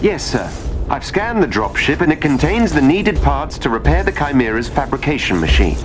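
A courteous male artificial-intelligence assistant for a game cinematic. He is precise, deferential and drily witty, with a very mobile pitch and loud music underneath. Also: sing-song, normal speed. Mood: polite, dry. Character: polite, dry-witted male AI butler assistant. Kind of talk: cinematic video game voice acting.

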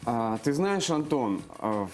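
A man's voice: medium pitch, very animated, slow pace, a little mellow, faint music behind. A man in his thirties, a guest in a TV studio, chatting casually with many fillers and restarts on a lapel mic. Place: TV news studio.

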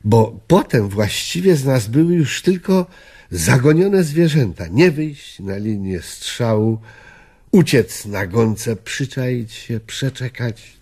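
An actor playing a reflective, disillusioned old gentleman in a radio drama, measured, earnest and world-weary. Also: medium pitch, lively intonation, breathy edge, exaggerated voice. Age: older.

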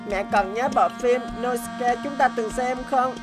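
A woman's voice: a little high, measured pace, natural voice. A woman voicing a sweet, brave, sick little boy in a cartoon drama, childlike, earnest and polite. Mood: wistful, eager. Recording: music bed behind the voice. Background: music.

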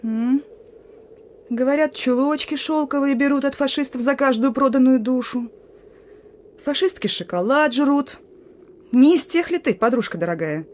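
A woman's voice: high voice, animated, moderate pace, long pauses, a little mellow. Stern young partisan sentry girl. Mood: suspicious, scornful. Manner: brusque, rustic colloquial commands. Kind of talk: Russian radio play acting. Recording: radio drama with music.

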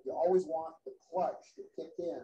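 A man's voice: on the high side, fairly even intonation, moderate pace, mellow, highs cut off. A man hosting a woodworking show, explaining step by step as he works in the shop. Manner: explains step by step while working. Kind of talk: casual workshop demonstration. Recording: echoey workshop.

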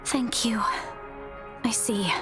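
A woman voicing an anxious, soft-spoken young woman in an anime video game, with a hesitant, fretful delivery. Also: fairly high pitch, fairly even intonation, slow, music in the background. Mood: subdued, tender.

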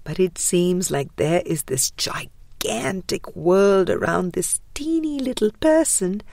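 A woman reading a spiritual book aloud as scripted narration, in a measured, deliberate way with pauses. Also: lowish voice, vocal fry, a little mellow, no room sound. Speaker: audiobook reader.

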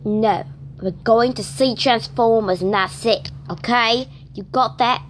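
A woman's voice: a little high, slow pace, slight background noise. A woman voicing a bossy girl doll in a put-on British accent, pushing her friend around. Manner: pushy, demanding.

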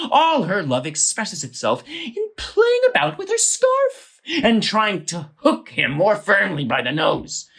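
A boorish, hot-tempered gentleman played by a woman declaims in an audio play comedy. The voice is husky and slow, swooping widely as he sneers and blusters. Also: fairly low pitch, full character voice. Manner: declamatory, sardonic, emphatic.

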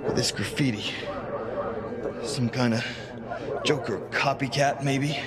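An actor playing a young, earnest hero sidekick in a video game, with a wry, halting delivery. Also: male voice, mid-range voice, a loud crowd, close to an everyday voice. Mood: puzzled.

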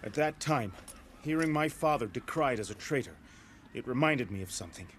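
An actor playing a noble young warrior in a video game, speaking formally with solemn, earnest conviction. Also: male voice, mid-range voice, animated, brisk, fairly bright tone, slight background noise, natural voice. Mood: grave resolve. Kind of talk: dramatic game cutscene acting.

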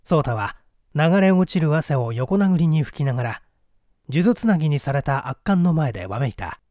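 Animated audiobook narrator, close and dry. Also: mid-range voice, moderate pace, dry recording. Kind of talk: dramatic scripted story narration.

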